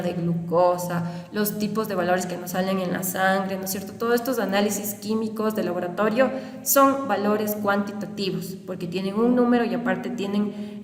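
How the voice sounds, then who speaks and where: A young woman giving a recorded university lecture, explaining step by step and often checking understanding with rhetorical questions. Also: mid-range voice. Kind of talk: recorded online lecture over slides. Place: small room indoors. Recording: roomy, reverberant, distant webcam audio.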